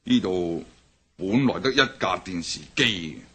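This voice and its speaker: An actor with a Hong Kong Cantonese accent playing a stern older gang boss in a radio drama, gruff and interrogating. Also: male voice, sits low, expressive, slow pace, heavily acted. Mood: probing. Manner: gruff, interrogating, flares into shouting.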